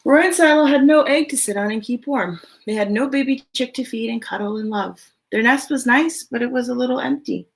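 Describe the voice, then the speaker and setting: A woman reading a picture book aloud to children in an expressive storytelling way, with casual, chatty asides. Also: a little high, fairly quick, creaky, few pauses.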